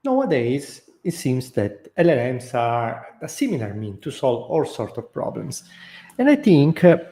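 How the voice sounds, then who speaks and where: Italian-accented guy thinking out loud, warm dark voice, lots of uhs and restarts. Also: mid-range voice. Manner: thoughtful, unscripted, many fillers and restarts. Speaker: host. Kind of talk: casual talk.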